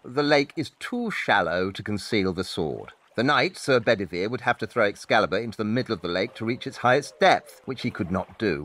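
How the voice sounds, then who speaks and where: A low-voiced, logical detective, played by a man, in an audio drama, recorded close and dry. He is precise and condescending, his deadpan wit carried on lively swings of pitch. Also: dry recording.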